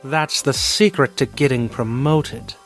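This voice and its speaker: A man's voice: medium pitch, a little slow, a bit bright, soft background music, close to an everyday voice. A man playing a smug, ambitious hitman villain in a game fandub, talking with self-satisfaction. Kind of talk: animated game fandub acting.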